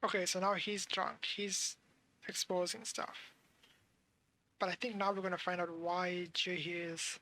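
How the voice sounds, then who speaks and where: A woman's voice: on the low side, level tone, measured pace, bright, forceful tone. A woman streaming a game, giving casual commentary and musing aloud in an informal way. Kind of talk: casual live gameplay commentary.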